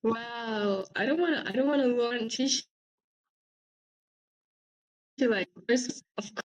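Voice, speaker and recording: Young woman, relaxed casual chat, brighter higher pitch. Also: moderate pace, poor audio. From Uzbekistan. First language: Uzbek. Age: young adult. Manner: relaxed, frequent "like" and "actually". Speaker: language exchange partner.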